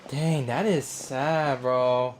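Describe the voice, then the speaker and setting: A man's voice: medium pitch, fairly even intonation, drawn-out words. A man giving casual, slangy live commentary as he streams a game, reacting aloud. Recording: game music behind the voice.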